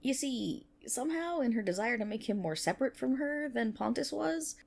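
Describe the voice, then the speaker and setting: A woman's voice: a little high, lively intonation, moderate pace, gravelly, darkish tone. A woman playing a nerdy, playful best friend in an ASMR roleplay, rambling casually to the listener with wry asides and self-interruptions. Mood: enthusiastic storytelling.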